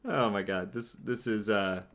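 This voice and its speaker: A man's voice: fairly low pitch, unhurried, a bit bright. A man giving sarcastic, joking let's play commentary while playing a game. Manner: sarcastic, dry jokes about the game. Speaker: game streamer.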